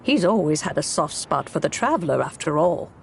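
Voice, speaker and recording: A wise, gently amused immortal in a video game. Her voice is lowish with a clear creak, poised and composed. Some rumbly noise sits behind it. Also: very animated, fairly quick, exaggerated voice. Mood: wry, reassuring. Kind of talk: video game character acting.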